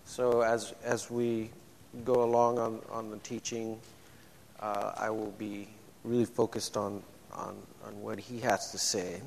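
A man with a low voice preaching a sermon in a church, speaking haltingly with frequent restarts. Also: little pitch movement, gravelly, a little hiss or hum. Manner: halting, many restarts and fillers. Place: church sanctuary. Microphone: microphone. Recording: long church-hall reverb.

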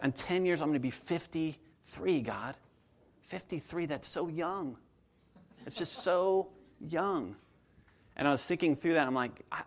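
A man's voice: fairly high pitch, vocal fry. A man, preaching a sermon to his congregation in an earnest, conversational way, often repeating his phrases. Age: 40s. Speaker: pastor.

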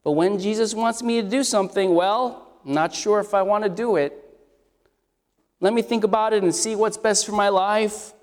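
High, scratchy-voiced male preacher. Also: normal speed. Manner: conversational, asks the congregation questions. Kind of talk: expository sermon. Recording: long hall reverb.

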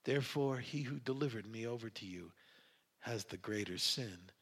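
A man voicing a calm holy prisoner in a Bible reading, speaking with quiet, measured authority. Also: mid-range voice, slightly rough, pitched below the performer's own voice. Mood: calm, grave.

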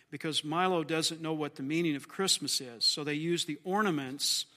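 A man with a slightly hoarse voice leading a church class, praying aloud and then chatting casually with the group. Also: on the high side, pushed and bright. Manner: earnest, folksy, jokes with the class. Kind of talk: spoken prayer and casual class talk.

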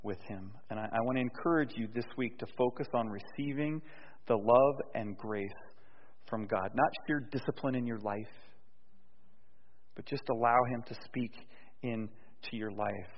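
A man preaching a sermon in a conversational way, dropping soft and low and then rising loud and emphatic. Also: normal speed. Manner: conversational, with restarts and fillers. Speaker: pastor. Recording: dull sound with long hall reverb.

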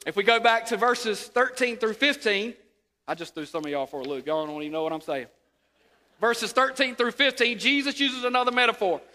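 A man preaching a sermon, sniffly from a sore throat, repeating words and calling for amens. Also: very high voice. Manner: repeats words, rises to near shouts, calls for amens. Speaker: pastor. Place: church sanctuary. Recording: long hall reverb.

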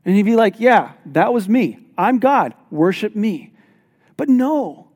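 A man preaching a sermon as pastor, reading scripture aloud and explaining it in an animated, emphatic way. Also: high-pitched, slow. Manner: animated, self-deprecating, emphatic. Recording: long hall reverb.

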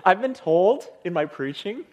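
A male pastor giving a sermon in church, with a soothing voice and a humorous, lively manner of delivery. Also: high voice, a little slow, background noise. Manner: lively, humorous, acts out tired people.

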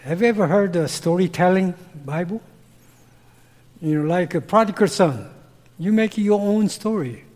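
A man's voice: high-pitched, big-room sound. A man with a Korean accent and broken English, a missionary answering interview questions with personal stories. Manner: broken English, slow and earnest, gets loud when animated. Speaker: missionary guest.

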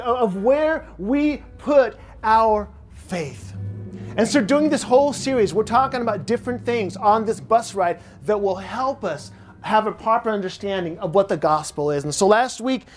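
A middle-aged American pastor preaching a Sunday sermon in a church, conversational and repetitive in phrasing. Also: male voice, high voice. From United States. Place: church sanctuary.

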